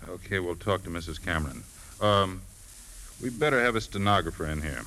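An actor playing a blunt, probing police lieutenant in 1950s radio drama style, questioning briskly. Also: male voice, low-pitched, expressive, sharp tone, heavily acted. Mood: brisk, businesslike. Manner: brisk, pressing, clipped questioning. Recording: noisy 1950s radio broadcast recording.

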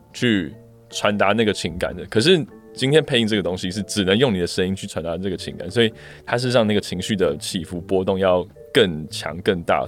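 Low-voiced Taiwanese man, casual co-host. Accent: Taiwanese Mandarin. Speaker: podcast host. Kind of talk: casual chat.

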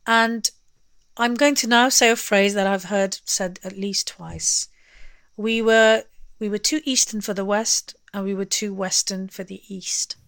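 A woman's voice: mid-range voice, fairly even intonation, measured pace, a bit bright, clear, resonant tone, dry recording. A woman telling personal memories conversationally, leaning on key words as she goes. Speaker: interview guest, singer. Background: music.

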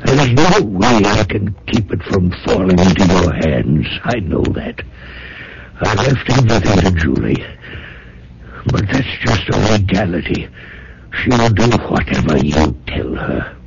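Dying rich old dad in a radio drama, preachy and stern, slow, big swings in pitch. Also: male voice, lively intonation, gravelly, exaggerated voice. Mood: weary, bitter. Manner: halting, weighty, preachy. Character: dying wealthy old father, stern, moralizing, remorseful. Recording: muffled, faintly noisy vintage radio recording.

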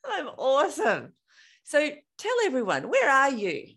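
A woman hosting a running podcast, chatting in a bubbly, animated way and laughing often. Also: high voice, measured pace, creaky. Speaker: podcast host. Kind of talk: casual interview chat.

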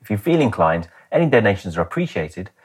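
A man with a low voice introduces a podcast as its host. His delivery sounds scripted, and his tone has a husky, slightly rough grain. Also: sing-song, fast.

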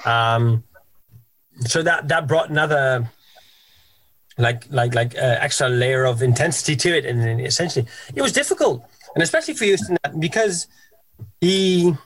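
A man's voice: fairly high pitch, fairly bright tone, no room sound, poor audio. A man, a guest on a podcast, chatting casually about his band, with frequent restarts and fillers. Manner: halting, lots of restarts and fillers.

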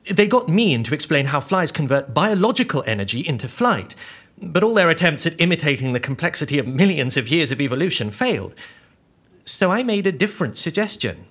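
An actor playing an anxious, earnest scientist on the run in an audio drama, explaining things in a precise, literal way with a husky voice. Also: male voice, fairly high pitch, sing-song, a little fast, fairly bright tone, exaggerated voice. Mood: proud, explanatory.

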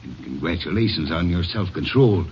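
A sneering bank robber in a vintage radio crime show. He is tough and mocking, with very lively intonation. The sound is dull with faint noise. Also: low-pitched, moderate pace. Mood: sarcastic, menacing. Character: sneering, sarcastic male bank robber in Santa suit. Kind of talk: 1950s radio crime drama acting. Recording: muffled old radio recording, faint noise.

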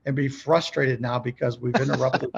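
A man's voice: medium pitch, flat delivery, normal speed, vocal fry, slightly rough, dull audio. A man giving conversational coaching as a podcast guest, speaking emphatically and checking in with short rhetorical questions. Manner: emphatic, asks rhetorical check questions, acts out sample calls. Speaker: podcast guest, car dealership service trainer.

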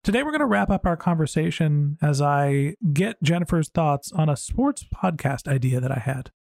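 Man, podcast host, conversational, informal, gravelly edge, dry close recording. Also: fairly high pitch, expressive, normal speed, a little mellow, no room sound. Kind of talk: casual conversational podcast interview.

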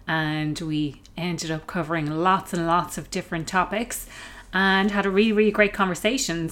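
A woman hosting a podcast interview, chatty and upbeat, her voice still a little hoarse from being ill. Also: lowish voice. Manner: chatty, upbeat, loose phrasing. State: recovering from illness, slightly hoarse. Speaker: podcast host.

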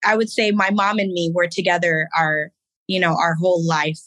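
A woman in her thirties from Haiti, a podcast guest telling her story in an unhurried, reflective way with fillers. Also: fairly low pitch, little pitch movement, fairly bright tone, no echo. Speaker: guest, business owner and Navy veteran. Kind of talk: casual interview talk.

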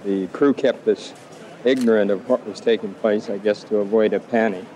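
A low, mellow-voiced man gives a passenger's account in an old outdoor TV news interview, with faint street noise around him. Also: fairly even intonation, moderate pace, rough recording.

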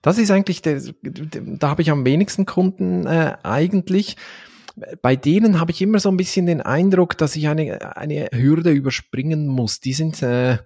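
A man's voice: fairly high pitch, normal speed, warm tone, dry recording. Swiss man, dry close sound. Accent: Swiss Standard German. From Switzerland, Valais. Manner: thoughtful, frequent "äh" fillers, occasional laugh. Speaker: seminar trainer and speaker. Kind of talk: podcast interview.

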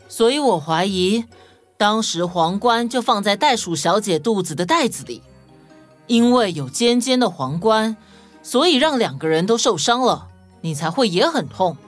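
Woman acting young detective with lively, swinging pitch. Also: natural voice. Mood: accusing, reasoning. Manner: deliberate, reasoning, matter-of-fact. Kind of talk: children's audio story acting. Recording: music under the voice.

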